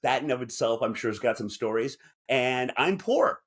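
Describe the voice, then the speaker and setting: A man's voice: medium pitch, animated, normal speed, slightly rough. A man co-hosting a podcast, chatting casually with a wry, joking manner. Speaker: podcast co-host. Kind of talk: casual comedic chat.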